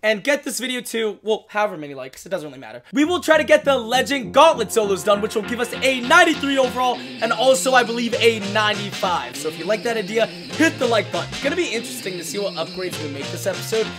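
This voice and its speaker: A young man in his twenties, hoarse from losing his voice, giving excitable casual commentary while opening packs in a video game. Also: sits high, fast talker, hardly any pauses. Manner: excitable, hyped outbursts. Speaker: gaming YouTuber. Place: small room at a desk. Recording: music playing behind the voice.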